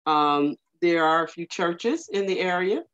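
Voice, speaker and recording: A woman's voice: lowish voice, lively intonation, a little slow, fairly bright tone, clean tone. A woman speaking casually in a meeting over a video call, rambling and hesitant with many fillers. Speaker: community working group member. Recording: muffled lo-fi video call audio.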